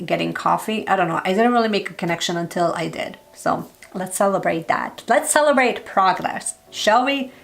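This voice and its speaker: Chatty, slightly hoarse woman vlogger. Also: mid-range voice. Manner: chatty, upbeat, heavy use of "like". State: slightly hoarse, losing her voice. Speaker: beauty and lifestyle vlogger. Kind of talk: casual product review.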